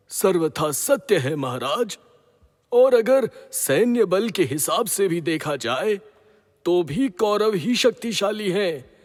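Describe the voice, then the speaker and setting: Man acting a high, bombastic war counselor. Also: exaggerated voice. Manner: emphatic, declamatory, formal. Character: bombastic, scornful war counselor urging the stronger side. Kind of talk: Hindi mythological audio drama acting. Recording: long hall-like reverb.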